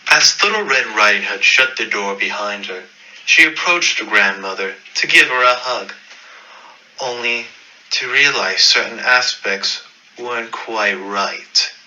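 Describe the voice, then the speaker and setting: A man's voice: lowish voice, unhurried, pushed and bright, lo-fi sound. A man narrating a scripted fairy-tale radio play in a dramatic storytelling manner.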